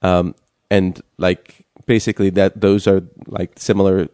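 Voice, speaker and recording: A young man co-hosting a casual chat show, talking haltingly with many fillers and restarts. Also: sits low, flat delivery, dark tone, smooth, no room sound, studio quality. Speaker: podcast co-host.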